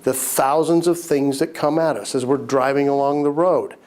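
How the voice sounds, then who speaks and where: Man with a raspy voice from a cold. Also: mid-range voice, fairly even intonation, normal speed, a little mellow. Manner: conversational, repeats key points, frequent throat clearing. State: recovering from a cold, slightly raspy. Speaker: business course instructor. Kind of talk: recorded lecture to entrepreneurs.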